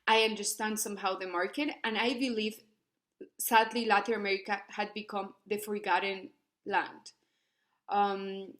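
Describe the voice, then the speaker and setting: A young woman from Ecuador, giving an earnest academic presentation with many fillers and restarts. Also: medium pitch. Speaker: student researcher presenting.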